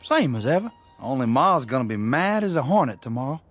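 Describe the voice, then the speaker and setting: A man's voice: mid-range voice, very animated, brisk. A man playing a folksy, easily wounded young suitor with a rural American accent in a radio drama. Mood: courting. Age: young adult.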